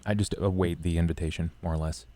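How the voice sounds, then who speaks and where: A man playing a formal, reserved male vampire in a tabletop game, speaking in a deliberate, courteous way. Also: sits low, steady tone, warm tone, natural voice. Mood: courteous, guarded.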